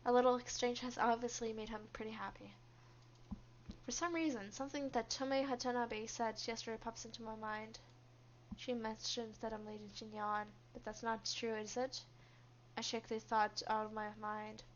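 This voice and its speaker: A woman voicing a reserved, guarded young female office worker, narrating a visual novel in a subdued, measured way. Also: a little high, fairly even intonation, slight background noise, close to an everyday voice. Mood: reflective, guarded. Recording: dull, lo-fi microphone.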